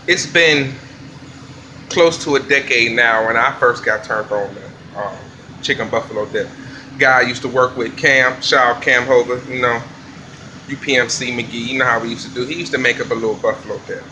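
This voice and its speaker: Laid-back bright-voiced chef. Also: male voice, mid-range voice, moderate pace, a little hiss or hum, poor audio. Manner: laid-back slangy hype talk. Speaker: chef and vlog host. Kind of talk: casual cooking vlog. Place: commercial kitchen, indoors. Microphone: handheld phone camera.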